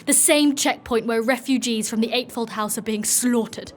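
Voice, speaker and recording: Actress doing a stern proud lady knight, formal and bossy, dark fantasy audio play. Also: fairly high pitch, moderate pace, close to an everyday voice. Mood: desperate, bitter. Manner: formal, commanding. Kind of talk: dark fantasy audio drama acting with solemn narration.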